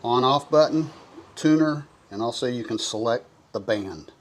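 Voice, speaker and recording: A man's voice: mid-range voice, moderate pace. A man giving a casual, folksy and enthusiastic product review to camera.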